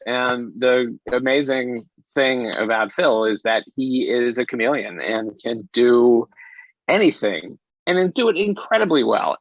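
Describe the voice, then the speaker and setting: A man speaking casually as an interview guest, with halting, repeated starts to his thoughts. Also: medium pitch, no echo. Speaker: interview guest, audio drama creator. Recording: dry, slightly dull close sound.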